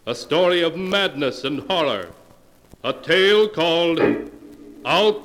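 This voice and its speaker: A man's voice: fairly high pitch, lively intonation, fairly bright tone. A man narrating macabre tales in a solemn, melodramatic 1940s radio horror style, drawing out his words. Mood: ominous, grand. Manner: solemn, melodramatic, drawn-out delivery. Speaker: announcer and narrator of a radio mystery series. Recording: noisy vintage 1940s radio recording.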